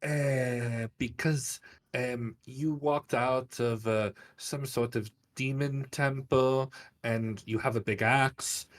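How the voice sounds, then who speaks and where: A man's voice: medium pitch, steady tone, slow, natural voice. A man voicing a tactful, scheming woman in a tabletop game, coaxing politely and hesitantly. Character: tactful, scheming woman coaching a giant through a bluff.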